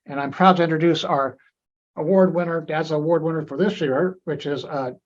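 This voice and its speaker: A man hosts a medical grand rounds webinar and fields questions for the speaker. His voice sits a bit high, and he halts often with fillers and restarts. The audio is dull and muffled, like a video call. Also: a little fast. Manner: hesitant, many fillers and restarts. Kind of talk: moderating a webinar Q&A.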